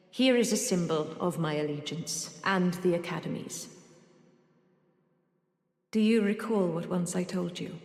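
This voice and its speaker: A proud, scholarly sorceress from a fantasy video game. Her voice sits fairly low and moves slowly, with measured, formal, archaic phrasing. She addresses her pupil with fond, ambitious authority, and every word trails off into long hall reverb. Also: gravelly, darkish tone. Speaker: video game character voice actor. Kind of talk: scripted game dialogue.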